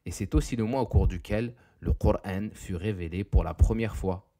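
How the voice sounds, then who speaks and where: A man narrating a scripted educational quiz, reading questions and answers in a deliberate, didactic way. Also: low-pitched, dark tone.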